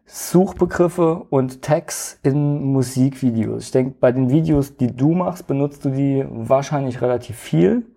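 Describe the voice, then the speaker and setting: A man hosting a podcast, introducing the episode and asking calm interview questions with short affirmations. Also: mid-range voice, unhurried, vocal fry, hardly any pauses. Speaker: podcast host.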